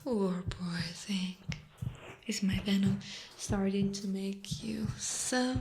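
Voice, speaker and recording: A woman voicing a seductive, possessive spider monster in a breathy, teasing ASMR whisper. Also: medium pitch, a little mellow, a little hiss or hum. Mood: mocking, cooing. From Italy. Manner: slow, drawn-out, teasing. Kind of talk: ASMR roleplay, mostly whispered.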